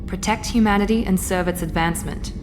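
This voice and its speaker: A woman's voice: mid-range voice, a little fast, close to an everyday voice. Sci-fi game role: woman to play cool, composed operative officer, exact and formal, middle register, music behind. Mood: assured. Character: poised, businesslike woman agent, coolly loyal. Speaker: secret agent and ship's officer. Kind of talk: video game character dialogue.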